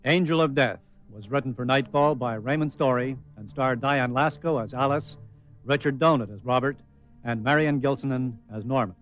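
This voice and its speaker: A man's voice: mid-range voice, normal speed. A male announcer reads scripted credits for a radio programme. The sound is narrow and muffled, with faint music underneath. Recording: band-limited radio broadcast with faint music.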